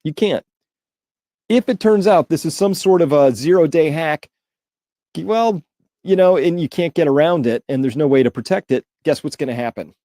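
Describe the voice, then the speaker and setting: A man's voice: a little high, expressive, moderate pace, dark tone, dry recording, studio quality. A man giving informal, opinionated news commentary as a host, emphatic and alarmed, repeating words for emphasis.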